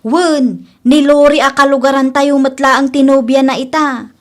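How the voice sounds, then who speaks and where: A woman's voice: high voice, steady tone, moderate pace, lightly acted. A woman playing a gossipy, supportive young best friend in an Ilocano comedy drama, chatty and teasing.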